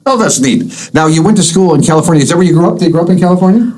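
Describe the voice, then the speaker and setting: A man's voice: high-pitched, racing, darkish tone, rough recording. A man hosting a podcast, interviewing a guest in casual chat, rambling with many uhs. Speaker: podcast host.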